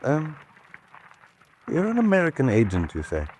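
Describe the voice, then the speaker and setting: An actor playing a sceptical, curt gentleman in a radio drama, speaking in a dry, dismissive, sardonic way. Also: on the high side, huge pitch swings, moderate pace, a little hiss or hum. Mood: reserved.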